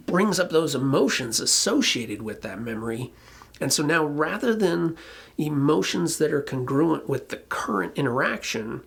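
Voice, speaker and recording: A presenter giving an informal explainer talk in a colloquial, emphatic way, with a gravelly, husky voice. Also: medium pitch. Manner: colloquial, emphatic, occasionally swearing. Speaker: presenter explaining relationship conflict.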